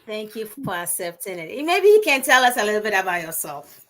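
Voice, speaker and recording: A woman hosting an online interview, welcoming her guest in a warm, upbeat way. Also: medium pitch, sing-song, fairly quick. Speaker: host.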